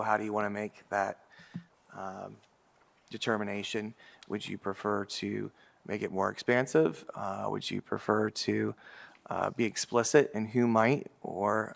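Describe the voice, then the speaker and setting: A man presenting a proposal to a city commission in a measured way, with many fillers. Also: on the low side, gravelly, a bit bright. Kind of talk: public meeting presentation.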